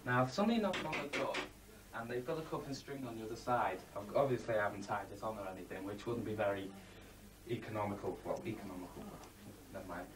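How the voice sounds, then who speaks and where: A man giving a rambling, half-scripted amateur presentation, halting and matter-of-fact with blunt asides. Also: fairly low pitch. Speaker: presenter of a homemade design project video. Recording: distant mic, faint background noise, amateur home video.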